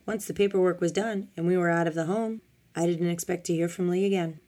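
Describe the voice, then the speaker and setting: Woman as a composed, guarded man, mellow. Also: fairly low pitch, steady tone, fairly quick, lightly acted. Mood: reserved. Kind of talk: audiobook character dialogue.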